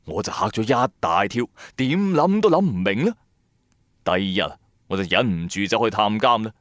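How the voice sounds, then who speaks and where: A man with a Cantonese accent narrating a supernatural tale in the first person, dramatic, colloquial and expressive. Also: fairly low pitch, moderate pace, no echo, studio quality. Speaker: storyteller narrator.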